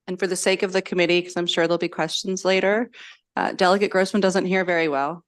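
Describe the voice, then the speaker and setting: Woman legislator reading formal testimony, low voice. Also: fairly even intonation, fast talker. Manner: earnest, reads steadily from prepared remarks. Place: legislative committee hearing room.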